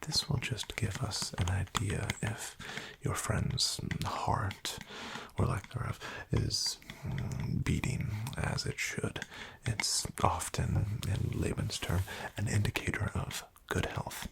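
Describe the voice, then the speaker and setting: A man whispering softly as a polite, hesitant doctor in an ASMR roleplay, with a wry bedside manner. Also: lowish voice, takes it slowly. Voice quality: whispered, gravelly. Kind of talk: soft-spoken ASMR roleplay.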